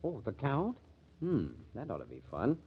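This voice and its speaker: An actor playing a bitter, wisecracking diner worker in a radio drama, slangy and sarcastic. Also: male voice, mid-range voice, wildly swooping pitch, moderate pace, breathy edge, full character voice. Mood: mocking. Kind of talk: 1950s radio drama acting. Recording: dull vintage radio recording.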